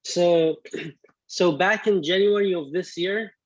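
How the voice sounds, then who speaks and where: A man in his twenties, born in Iraq with Arabic as his first language, telling his story as a guest in a casual interview, halting with many restarts. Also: high voice, no room sound, lo-fi sound. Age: 20s. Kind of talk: casual video-call interview.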